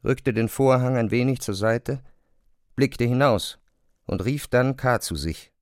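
A man narrating a literary radio play in a measured, scripted manner. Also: medium pitch, no echo, studio quality.

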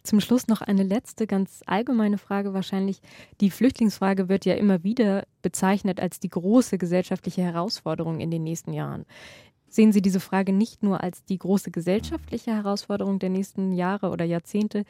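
A woman's voice: medium pitch, moderate pace, dark tone, no room sound, studio quality. A woman moderating a radio interview, asking considered questions in articulate, formal phrasing.

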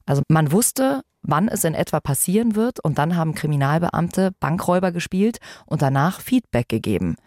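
A woman's voice: low-pitched, big pitch swings, moderate pace, hardly any pauses, darkish tone, no echo, clean studio sound. A woman presenting a podcast in a chatty, informal way, speaking directly to her listeners. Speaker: podcast host, radio presenter.

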